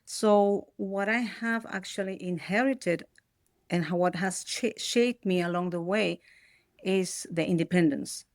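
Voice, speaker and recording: A woman's voice: lowish voice, a little slow, no echo, studio quality. A middle-aged woman from Peru, raised in the Netherlands, speaking as an as a podcast guest, reflective and often restarting her sentences. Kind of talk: reflective personal interview.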